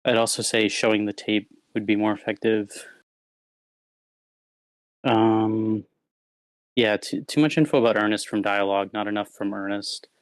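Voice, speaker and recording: A man's voice: fairly low pitch, fairly even intonation, normal speed, long pauses, dry recording. A man giving casual spoken critique as a participant, in a diplomatic, hedging manner, on an online meeting microphone. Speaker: participant giving script feedback.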